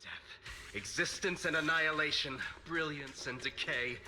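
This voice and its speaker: An actor voicing a grandiose, fanatical cult villain in a video game, preaching in a pompous, theatrical way. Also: male voice, a little high, unhurried. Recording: game audio under loud music.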